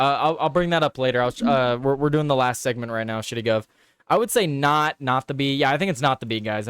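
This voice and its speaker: A man's voice: medium pitch, clean tone, no echo, clean studio sound. A man hosting a live political show, reading headlines aloud and chatting casually with his viewers, swearing and repeating himself as he reacts.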